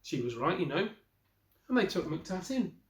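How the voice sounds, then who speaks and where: A man in his forties reading a picture book aloud as an expressive storyteller, the way a teacher would. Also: high-pitched, measured pace. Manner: expressive storyteller with brief asides to listeners. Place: small wallpapered room indoors. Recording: roomy, echoey indoor recording.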